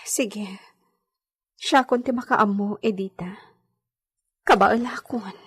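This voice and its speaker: A woman acting a weak, grateful young pregnant lady with a breathy, faint voice in a radio drama. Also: mid-range voice, slow pace.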